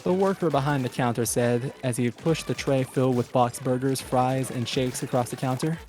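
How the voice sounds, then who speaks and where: A man's voice: medium pitch, fairly even intonation, moderate pace, a little mellow. A man reading a story aloud as narrator in a scripted storytelling style, with music behind him.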